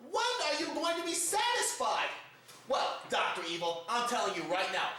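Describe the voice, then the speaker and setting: A man's voice: sits high, pushed and bright. A man hosting a satirical news report, addressing his audience formally in a theatrical, mock-dramatic manner. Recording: very echoey, reverberant room.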